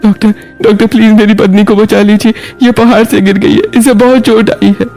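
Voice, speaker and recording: A man's voice: high voice, little pitch movement, a little fast, warm tone, soft background music, voice pushed up. A man voicing an anxious, protective young husband in a Hindi moral story, speaking urgently and emphatically. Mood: urgent pleading.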